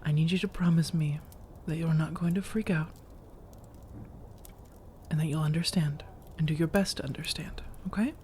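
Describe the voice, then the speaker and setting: A woman playing a seductive, teasing vampire roommate in soft-spoken ASMR roleplay, coaxing and reassuring, often drifting into whispers. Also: low-pitched, steady tone, normal speed, pauses often, a little hiss or hum, natural voice. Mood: teasing, secretive.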